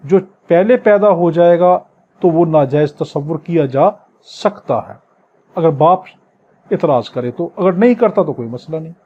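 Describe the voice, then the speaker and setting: A man's voice: high-pitched, slightly rough, muffled recording. A man with a Pakistani accent teaching law in an exam-prep lecture, reading clauses aloud and explaining them emphatically, repeating key points.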